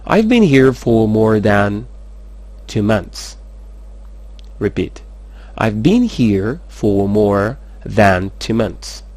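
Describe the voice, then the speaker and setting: Brief: male English tutor, lowish voice, slow drills then brisk explaining. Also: big pitch swings, darkish tone, no echo. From Madagascar. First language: Malagasy. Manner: slow, careful model sentences between fast explanations. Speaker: English teacher. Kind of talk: language lesson, explaining in Malagasy and French.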